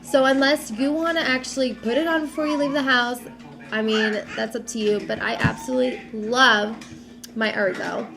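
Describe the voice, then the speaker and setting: A young mom talking straight to camera, her voice a bit raspy from a cold and edged with gravelly fry. She sits a little higher in pitch than most women and rambles in a friendly, unscripted way, full of "um" and "like". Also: steady tone, normal speed. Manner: chatty, lots of "um" and "like". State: has a cold, slightly raspy. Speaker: mom vlogger. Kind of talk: casual chat to camera.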